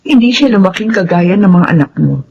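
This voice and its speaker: An actress playing a sorrowful, apologetic rich mother and employer with a measured, earnest manner in a Filipino radio drama. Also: medium pitch, lively intonation, gravelly, a little mellow, slightly rough. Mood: remorseful. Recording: dull, muffled audio.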